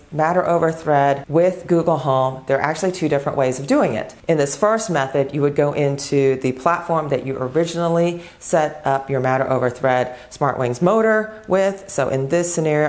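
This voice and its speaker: A woman's voice: low-pitched, darkish tone. A woman presenting a step-by-step tech tutorial and product demo in a plain-spoken, instructional manner.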